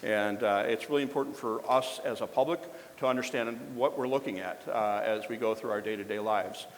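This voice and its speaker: A man presenting at a public forum and introducing the next speaker, talking haltingly with many fillers and restarts. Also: lowish voice, in a large hall, slight background noise, low-quality recording.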